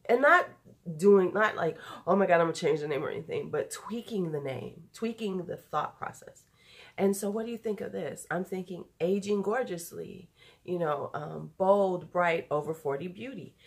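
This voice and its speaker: A nasal, congested woman in her forties rambles to camera from home, her voice fading as she talks. She keeps a loose, chatty flow that wanders off topic and often breaks into laughter. Her voice sits a little low. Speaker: beauty YouTuber. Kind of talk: unedited rambling vlog.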